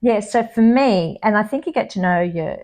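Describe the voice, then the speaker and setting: Creaky-voiced woman, chatty author. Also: mid-range voice, normal speed. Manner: chatty, laughs easily, tumbling restarts; reads her own prose aloud with lively expression. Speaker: author and podcast guest. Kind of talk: casual interview chat. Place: home near trees with birds outside.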